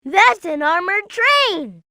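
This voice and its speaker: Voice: sing-song, takes it slowly, sharp tone, no echo, clean studio sound, exaggerated voice. A performer voicing an earnest, eager little boy fox sidekick in a video game, with a childlike voice. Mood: curious. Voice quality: high, childlike.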